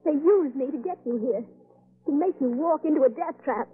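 A woman's voice: lively intonation, brisk. An actress playing a distressed but defiant young captive woman, pleading in a 1930s radio melodrama. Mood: despairing. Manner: pleading, high-strung. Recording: muffled scratchy 1930s radio recording.

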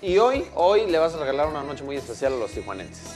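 A man's voice: fairly high pitch, very animated, fairly quick, a little mellow. A man in his thirties with a Mexican accent, hosting a casual television interview in a studio. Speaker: TV morning show host. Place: indoor TV studio set. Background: music.

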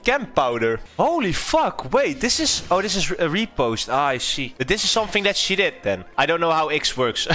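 A young man, his voice bright and somewhat high, talks through his game in loose, casual commentary. He swings up and down in tone, curses freely and reacts with quick amusement, while soft music plays behind him. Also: very animated. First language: Dutch. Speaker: streamer.

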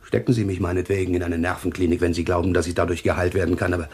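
A man's voice: sits low, flat delivery, very fast, a little mellow, lightly acted. An actor playing a desperate, agitated man who calls himself a prophet, pleading insistently in a German thriller radio play. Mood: resigned pleading.

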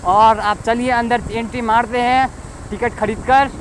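A man's voice: extremely high pitch, steady tone, measured pace. A young man casually chatting and joking while filming his motorbike trip outdoors. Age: young adult. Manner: joking, banters with his friend. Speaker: vlogger. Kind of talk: casual motovlog chat. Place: outdoors on a hill road. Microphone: helmet-mounted camera. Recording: outdoor wind and road noise.

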